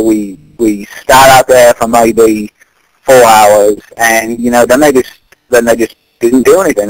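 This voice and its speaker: A man playing an earnest, concerned British neighbour on a prank phone call, speaking haltingly and repeating his words. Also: mid-range voice, no room sound, close to an everyday voice.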